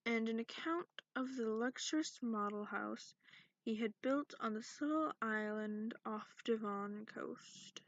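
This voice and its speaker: A woman playing a sarcastic, cranky dragon in a soft-spoken ASMR bedtime reading, recorded close up in lo-fi. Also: a little high, a little slow, no room sound. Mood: drowsy storytelling. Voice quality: creaky. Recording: dull lo-fi close dry recording.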